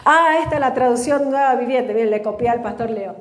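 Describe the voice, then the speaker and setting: A woman pastor teaching a live church sermon. She reads scripture slowly and has the congregation repeat after her. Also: a little high. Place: church in a large hall. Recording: echoey church hall with long reverb.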